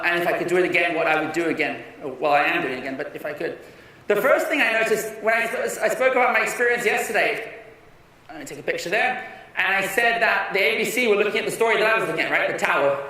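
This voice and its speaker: A young man giving a conference talk in an energetic, persuasive way, often restarting his sentences. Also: sits high. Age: young adult. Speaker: conference speaker. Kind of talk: keynote talk. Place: large conference hall. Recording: echoey hall from far off.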